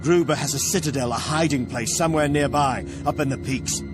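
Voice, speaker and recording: A dutiful army officer from a fantasy game played by a man speaks earnestly and briskly. His voice is on the high side for a man. Music plays clearly behind him. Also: lightly acted. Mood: sombre, earnest. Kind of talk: video game cutscene acting.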